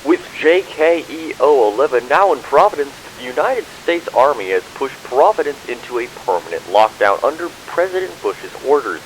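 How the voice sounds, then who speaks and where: A man's voice: mid-range voice, sing-song, normal speed, few pauses, close to an everyday voice. A man playing a serious, earnest television news reporter delivering a scripted report on an outbreak. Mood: grave, reporting. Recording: hissy, noisy recording.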